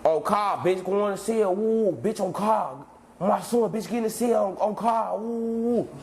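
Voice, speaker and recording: A man telling an animated story, shouting and imitating people. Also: high voice, a little slow. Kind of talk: storytelling clip. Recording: noisy clip with ambience.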